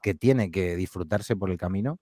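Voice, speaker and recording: A man, a podcast guest, telling anecdotes in an animated, joking way. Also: sits low, normal speed, dry recording, studio quality. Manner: animated storyteller, jokey asides. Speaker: podcast guest, horror audio fiction creator. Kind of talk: casual interview chat.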